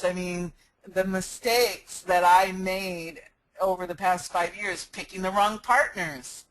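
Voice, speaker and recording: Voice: medium pitch, fairly bright tone, poor audio. Rambling online business coach. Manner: rambling, frequent restarts and fillers. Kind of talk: casual live Q&A talk.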